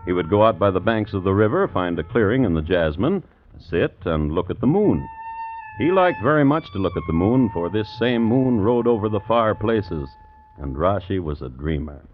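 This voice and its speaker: A wry storyteller, low and very animated in pitch, narrates a radio play from long ago. Music plays faintly behind him. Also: male voice, normal speed. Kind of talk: old-time radio drama narration. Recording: dull old radio recording.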